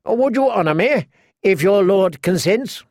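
A gallant, good-humoured young knight as a male narrator performs him, courteous and earnest in a storybook tale. His voice sits high and is recorded dry and close. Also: animated, measured pace, no echo. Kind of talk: audiobook character voice.

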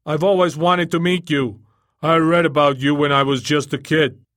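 A man voicing an earnest, insistent male superhero in an audiobook, loud and eager. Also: high voice, animated, normal speed, no room sound, studio quality, voice pushed up. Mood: booming, delighted. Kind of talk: audiobook character acting.

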